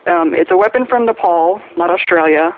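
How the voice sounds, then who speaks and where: A man's voice: high voice, normal speed. A man leaving a casual, chatty, geeky voicemail as a listener over a phone. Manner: geeky, correcting. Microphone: telephone. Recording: tinny narrow phone line.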